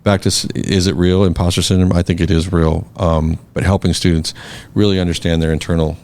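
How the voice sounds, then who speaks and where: Deep gravelly guy on a podcast, chill and thinking out loud. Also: little pitch movement, a little fast. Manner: reflective, many fillers and restarts. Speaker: guest, scholarship foundation board president. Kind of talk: casual podcast conversation.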